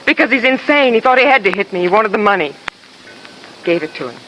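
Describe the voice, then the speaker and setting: A woman's voice: mid-range voice, animated, a little fast, a bit bright, close to an everyday voice. An actress playing a bitter, despairing young woman in a 1950s radio drama, speaking in short, weary, dramatic phrases. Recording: noisy vintage radio recording.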